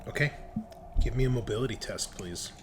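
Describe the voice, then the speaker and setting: A man narrating a tabletop roleplaying game as game master, describing scenes in a halting, improvised way. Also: fairly low pitch, background noise.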